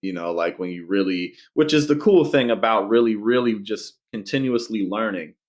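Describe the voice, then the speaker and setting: A man's voice: lowish voice, normal speed. A man co-hosting a podcast, talking things through in a thoughtful, musing way. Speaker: podcast co-host. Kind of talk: casual podcast chat.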